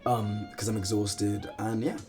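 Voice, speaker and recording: A young man from the West Indies, chatting casually in a vlog, animated and self-mocking, swearing and saying "like" a lot. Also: on the low side, unhurried, faint music behind. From Guyana and the West Indies. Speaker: vlogger and outreach coach. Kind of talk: casual vlog talk to camera.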